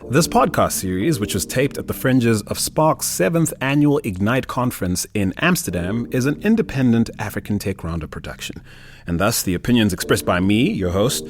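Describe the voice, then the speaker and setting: A man's voice: medium pitch, lively intonation, normal speed. A man hosting a podcast, moving between scripted narration and conversational interviewing. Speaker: podcast host.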